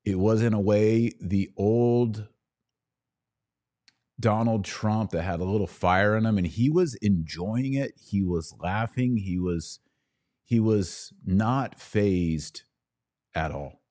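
A man's voice: fairly low pitch, slow, mellow. A man hosting a podcast, giving casual, opinionated political commentary in a conversational way with some mocking mimicry.

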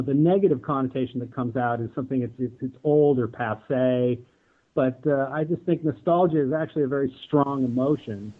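A man speaking reflectively and hesitantly as a panelist in a casual discussion. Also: mid-range voice. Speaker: guest panelist, audio drama producer. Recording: narrow, tinny phone-like line.

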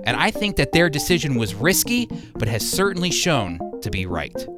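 A man's voice: on the high side, big pitch swings, moderate pace, over loud music. An American man hosting a radio program, presenting news and interviewing a guest at a studio microphone. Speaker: radio host. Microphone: close studio microphone.

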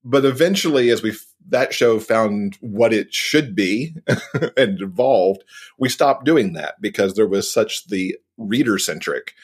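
A man's voice: lowish voice, lively intonation, a little slow, husky. A man giving a casual interview as a podcast guest, explaining things in an amiable way. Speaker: podcast guest, author and co-host.

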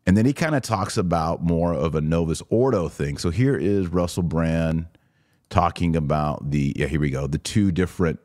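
Low-voiced man hosting a podcast, close and dry. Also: lively intonation, moderate pace, a little mellow, no echo. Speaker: podcast host. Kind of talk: casual commentary on a video clip.

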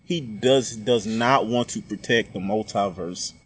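A man in relaxed, joking, colloquial chat about movies. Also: on the low side, a little slow. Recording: muffled lo-fi recording with faint hiss.

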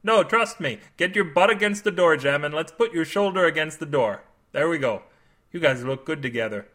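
A man's voice: a little high, expressive, normal speed, fairly bright tone. A man voicing an eager, nosy male neighbor who talks in a chirpy, pushy way.

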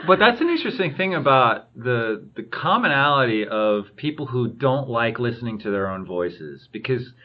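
A man hosting a podcast, explaining his view in a measured, conversational way. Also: medium pitch, big pitch swings, lo-fi sound. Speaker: podcast host. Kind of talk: casual chat.

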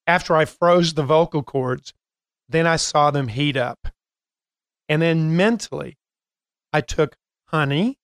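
Older guy hosting a coaching show, smooth clear voice, lots of uh pauses, dry studio sound. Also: on the high side, a bit bright, no room sound. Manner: chatty, many filler pauses. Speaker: host and coach. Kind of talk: casual podcast talk.

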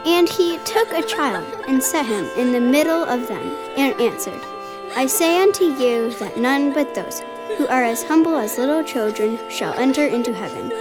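A male actor playing a young boy who solemnly reads scripture aloud in a radio drama, with measured delivery. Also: very high voice, animated, over music, rough recording.

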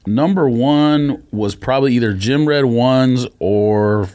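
A man's voice: mid-range voice, takes it slowly, mellow, smooth. A man co-hosting a podcast in casual banter, slangy and argumentative. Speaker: podcast co-host.

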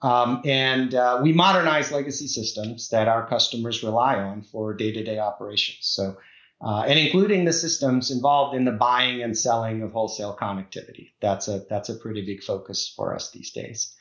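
A man's voice: medium pitch, normal speed, a bit bright, reverberant, highs cut off. A middle-aged man from the Czech Republic, a guest executive talking in a podcast interview, hesitant, with many fillers and restarts.

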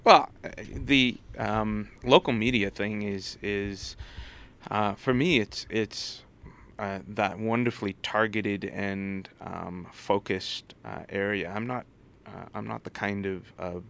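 Casting: male interview guest, low creaky voice, halting conversational delivery, slight background noise. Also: fairly even intonation, fairly bright tone. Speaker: interview guest, head of a digital arts college.

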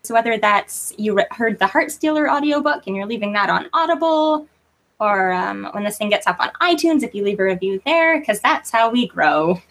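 A woman's voice: mid-range voice, expressive, normal speed, a bit bright. A woman chatting casually as a guest author in an interview, informal and self-deprecating in manner.

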